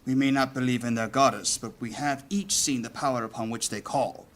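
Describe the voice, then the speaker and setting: A man playing a weary, grave leader of a mages' guild in a fantasy audio drama, speaking in measured, formal lines. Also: mid-range voice, steady tone, fairly bright tone, lightly acted. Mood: measured, cautioning. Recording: music under the voice.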